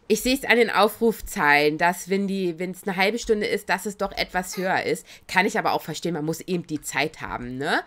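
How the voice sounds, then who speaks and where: A woman streaming a video game, chatting in a casual, excitable and giggly way. She has a cold that makes her voice slightly raspy. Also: medium pitch. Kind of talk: casual let's-play commentary.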